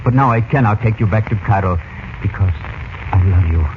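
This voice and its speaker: A male actor plays a courtly foreign prince, ornate and earnest in his devotion. The voice sits fairly low. It comes through a narrow, muffled 1940s radio recording with faint noise behind it. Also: a little fast, close to an everyday voice. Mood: ardent confession. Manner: formal, flowery, earnest.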